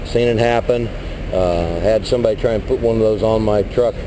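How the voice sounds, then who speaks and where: A man, a truck driver, talking casually and rambling as he walks around his flatbed load outdoors, recorded on a body-worn camera. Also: lowish voice, fairly quick, background noise. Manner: rambling, plain-spoken, mild cursing. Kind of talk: casual walkaround vlog. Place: outdoors at a truck lot beside a flatbed trailer. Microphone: body-worn action camera.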